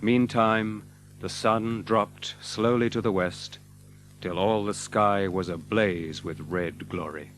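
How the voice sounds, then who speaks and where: Low-voiced man narrating a dramatic tale. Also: slight background noise. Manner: expressive, measured literary delivery. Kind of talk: dramatic scripted storybook narration.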